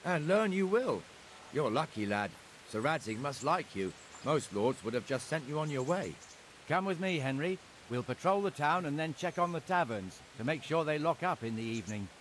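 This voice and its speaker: A man's voice: fairly high pitch, sing-song, moderate pace, a little hiss or hum. An actor playing a blunt, teasing, seasoned town watchman in a medieval video game. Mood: instructive.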